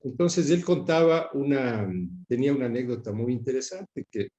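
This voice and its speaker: A middle-aged Ecuadorian man speaks in a mellow, warm voice of average pitch. He recollects in interview style, halting often as he searches for the right word. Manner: halting, pausing to search for words. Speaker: interview guest recalling his father's career. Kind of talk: reflective spoken recollection in an interview.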